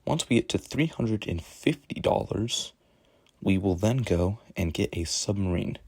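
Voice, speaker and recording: A man with a rough voice narrating a video game strategy guide, explaining step by step in a casual, joking way. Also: lowish voice, expressive, unhurried, darkish tone, no room sound. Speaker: gaming tutorial narrator. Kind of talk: gameplay commentary voice-over.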